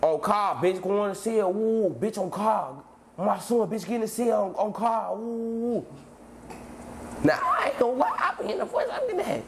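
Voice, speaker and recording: A high, squawking, clowning voice, as a man mimics a friend, that leaps up and down in pitch. It comes from a played-back clip with loud background noise. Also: gravelly, full character voice. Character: young man mimicking a friend in a rowdy jail story.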